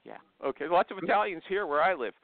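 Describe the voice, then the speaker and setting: A man hosting an informal live interview, blunt and quick to interrupt and steer his guest, heard over a narrow phone connection. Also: high-pitched, animated, no room sound. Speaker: host interviewing a guest on a live online show. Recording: narrow, thin phone-line sound.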